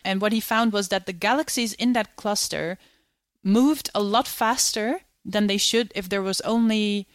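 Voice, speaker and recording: A woman from the Netherlands explains astronomy clearly and patiently. She speaks at a middle pitch, and the close, dry recording carries no room echo. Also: normal speed. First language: Dutch. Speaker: astronomer guest. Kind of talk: interview, explaining science.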